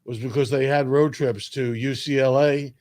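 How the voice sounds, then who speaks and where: An older man from New York reminiscing as an interview guest, speaking in a measured way with emphatic phrasing. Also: medium pitch, fairly even intonation, darkish tone, no room sound, clean studio sound. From New York, United States. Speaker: guest, sports public address announcer.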